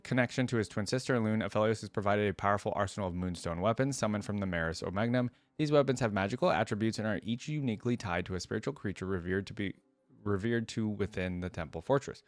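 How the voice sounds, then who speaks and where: A man with a fairly low voice reads aloud at a quick clip in a dry, close-sounding recording. He slips between reading and casual commentary, thinking aloud with curiosity like a reaction creator. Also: no room sound.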